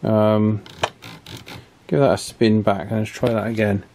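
A man's voice: fairly low pitch, little pitch movement, a little slow, background noise. Middle-aged man thinking aloud at workbench. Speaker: radio repair technician and presenter. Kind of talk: informal hands-on workbench commentary. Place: small indoor workshop, at the bench.

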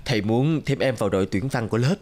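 A man playing a calm, kindly middle-aged schoolteacher in a cartoon, speaking gently and in a measured way. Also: medium pitch, close to an everyday voice. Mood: gentle approval. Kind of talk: cartoon dialogue.

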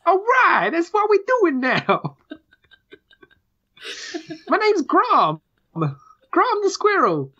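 A man's voice: very high voice, huge pitch swings, very slow, long pauses, pushed and bright, dull audio, voice pushed up, full character voice. A man voicing a chatty little male squirrel townsperson in a tabletop game.

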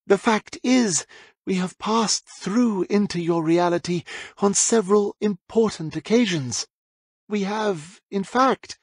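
A man's voice: high-pitched, slow pace, slightly rough, no room sound, studio quality, voice pushed up, full character voice. A man voicing a gleeful, cruel aristocratic villainess in an audiobook, condescending and theatrically polite. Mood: smug. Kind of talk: audiobook character voice.

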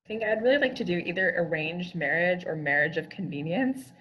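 Easygoing woman with a lower voice. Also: animated, brisk, slightly rough, lo-fi sound. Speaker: author panelist. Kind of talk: casual panel chat. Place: home room, video call.

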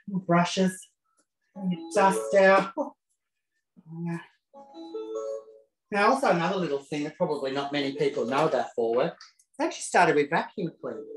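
A man presenting a casual live cooking demonstration in a home kitchen, camp and chatty with cheeky asides. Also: fairly high pitch. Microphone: self-set camera. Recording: roomy kitchen echo.

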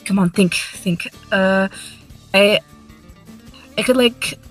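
A woman's voice: medium pitch, slow pace, soft background music. A woman voicing a nervous armored cat knight who poses as a man, rambling and defensive in an improvised comedy fan dub. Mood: anxious muttering.

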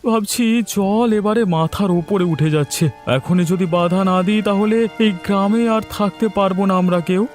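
A man voicing a hot-headed poor villager in a cartoon, speaking in an animated way with music behind. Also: sits high, moderate pace, natural voice. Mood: resolute. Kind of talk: cartoon voice acting.

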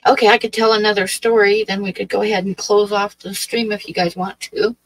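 A woman's voice: medium pitch, fairly even intonation, brisk, no echo, rough recording. A woman in her sixties from Oregon, hosting a livestream and chatting casually with her viewers in a rambling, hesitant way full of fillers. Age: 60s. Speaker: livestream host. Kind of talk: casual chat with live chat. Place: small dim room with draped wall.

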